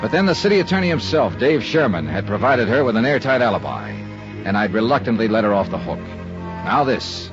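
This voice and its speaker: An actor playing a clipped, wry insurance investigator who narrates a 1950s radio drama. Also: male voice, mid-range voice, sing-song, fairly quick, natural voice. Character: hard-boiled insurance investigator man. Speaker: detective and narrator. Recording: dull 1950s radio recording with music.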